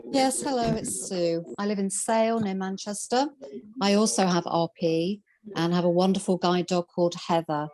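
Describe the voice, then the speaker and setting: A woman's voice: mid-range voice, fairly even intonation, moderate pace, rough recording. Cheerful woman greeting a group. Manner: warm, cheerful. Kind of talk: introducing herself on a call. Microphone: video call.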